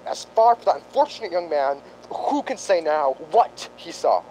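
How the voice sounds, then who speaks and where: A man playing a frail, injured doctor in a radio drama, halting, apologetic and evasive. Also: big pitch swings, dark tone, low-quality recording. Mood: evasive, sorrowful. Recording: faint rumbling background noise.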